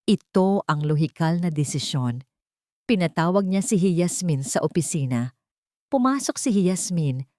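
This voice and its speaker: Filipino lady audiobook narrator, dramatic story reading, lots of pitch swing, super dry sound. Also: lively intonation, measured pace, a little mellow, dry recording.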